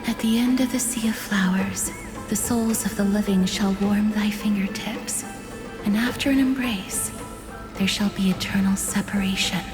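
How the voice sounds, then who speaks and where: A woman playing a serene, fragile young woman in a game, speaking gently and poetically of fate and parting. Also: medium pitch, steady tone, moderate pace, natural voice. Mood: serene, melancholy. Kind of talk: dramatic game voice acting. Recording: echoey reverb with clear music behind.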